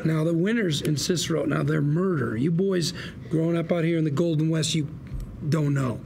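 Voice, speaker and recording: A man's voice: on the high side, normal speed, vocal fry, a little mellow, slight background noise. An actor playing a scheming, sarcastic lawyer with a folksy salesman's patter at a script table read. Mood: storytelling, wistful. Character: scheming, sarcastic younger brother, a slick fast-talking lawyer.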